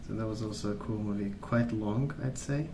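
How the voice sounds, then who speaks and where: A man speaking softly in a hushed, unhurried ASMR ramble, musing as he goes. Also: fairly low pitch, reverberant, background noise. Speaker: ASMR video host. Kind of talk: soft-spoken ASMR rambling while colouring.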